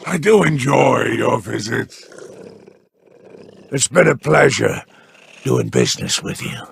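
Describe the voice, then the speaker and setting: Gravelly, oily alien crime boss. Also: male voice, medium pitch, very animated, slight background noise, heavily acted. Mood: amused, smug. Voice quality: gravelly, growling. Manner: oily, unhurried menace, mock-courteous. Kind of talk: video game character voice lines.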